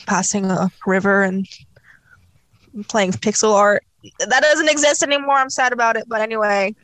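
Woman from South Korea, mid-pitched, casual conversational storytelling, dry close recording. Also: dry recording, low-quality recording. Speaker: conversation participant telling personal stories. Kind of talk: casual chat.

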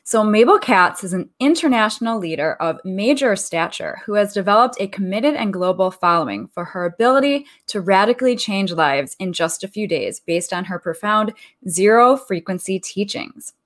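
A woman's voice: mid-range voice, animated, moderate pace, few pauses. Friendly woman radio host. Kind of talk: interview host reading a guest bio.

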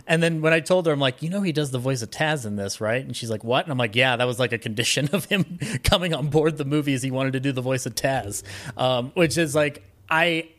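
A man's voice: medium pitch, fairly quick, fairly bright tone. An American man from Colorado chatting casually as a podcast guest, talking in a rambling, enthusiastic film-buff way. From Colorado, United States. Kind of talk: casual interview chat about films and his life.

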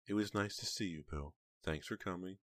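Man voicing a soft, wistful older aunt. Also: low voice, normal speed, no echo, clean studio sound, pitched above the performer's own voice, natural voice. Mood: warm, tired. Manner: soft, kindly, a little sad.

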